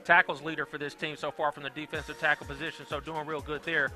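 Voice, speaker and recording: A young man calling a high school football game play by play, upbeat and quick. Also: flat delivery, pushed and bright, soft background music. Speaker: play-by-play announcer.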